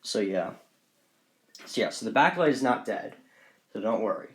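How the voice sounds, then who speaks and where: A man's voice: lowish voice, very animated, normal speed, rough recording. A man presenting his hobby collection in a casual, unscripted vlog, talking in a rambling, repetitive and enthusiastic way.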